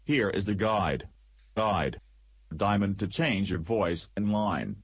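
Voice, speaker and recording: A man narrating a scripted software tutorial in an instructional, step-by-step manner. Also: lowish voice, expressive, takes it slowly, slightly rough, no room sound, clean studio sound.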